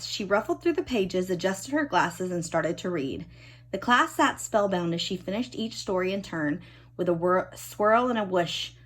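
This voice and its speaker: A woman narrates a children's picture book in a very lively, swooping voice. Her tone is a little husky, and she leans dramatically into key words, stretching them out for young listeners. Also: lowish voice. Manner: animated, dramatic storytelling with drawn-out key words. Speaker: storybook reader. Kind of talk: expressive children's storybook narration.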